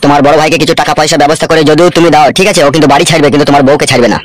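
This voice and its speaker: A man's voice: on the high side, little pitch movement, rapid-fire, dry recording, lightly acted. A man voicing a blustering, easily scared local gangster boss in a comedy cartoon. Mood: scheming, matter-of-fact. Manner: stammers when alarmed. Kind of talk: amateur comedy cartoon dialogue.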